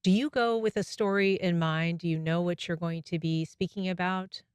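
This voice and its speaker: A woman hosting a public radio interview, asking questions in a smooth, curious, conversational way. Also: on the low side, fairly even intonation, moderate pace, no echo. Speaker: radio show host. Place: radio studio.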